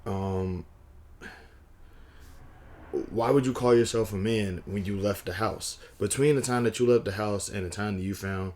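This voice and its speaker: A hoarse man vlogging casually, rambling with frequent restarts and a wry, conversational manner. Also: lowish voice, unhurried, pauses often, darkish tone. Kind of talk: casual talking-head vlog.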